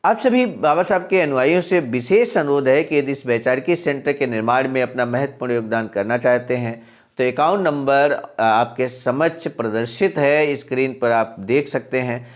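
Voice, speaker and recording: A man's voice: medium pitch, moderate pace. A man presenting a channel's daily message, reading out and explaining a historical text and addressing his listeners as honored friends. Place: center in Sarnath, Varanasi.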